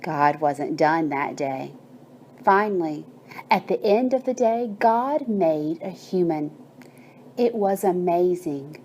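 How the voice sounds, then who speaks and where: A woman narrating a bedtime story and guided meditation in a soothing, measured manner with drawn-out phrases. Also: lowish voice, mellow, a little hiss or hum.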